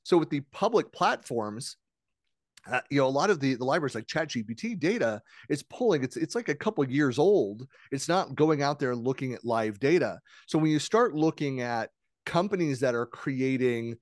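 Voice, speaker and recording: A man's voice: mid-range voice, animated, normal speed, no room sound. Chatty male podcast host, dry close sound. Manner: chatty, rambling, many restarts. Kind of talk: podcast interview, conversational.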